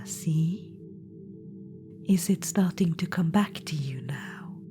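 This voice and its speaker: A woman voicing an ancient undead witch-queen spirit in an ASMR roleplay, whispering in a purring, patronizing way. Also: on the low side. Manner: purring, patronizing, calls listener darling.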